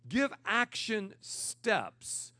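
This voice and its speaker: A pastor with a naturally loud voice who teaches with energy and repeats words for emphasis. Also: male voice, high voice, very animated, extremely slow, no room sound. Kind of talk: energetic teaching talk to church leaders.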